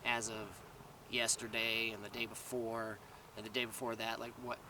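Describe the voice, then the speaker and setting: A man rambling casually to camera in a vlog, full of fillers and restarts, his voice slightly raspy. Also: medium pitch, little pitch movement, normal speed, far from the mic, background noise. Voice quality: slightly raspy after long talking. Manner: meandering, many fillers and restarts. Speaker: vlogger.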